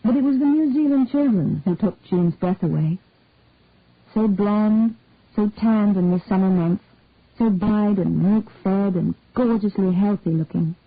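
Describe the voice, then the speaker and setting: A woman reading a detective story aloud in a measured, unhurried way. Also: medium pitch, dry recording. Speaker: reader. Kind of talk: scripted narration of a detective story. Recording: husky, tinny, dry phone-like sound.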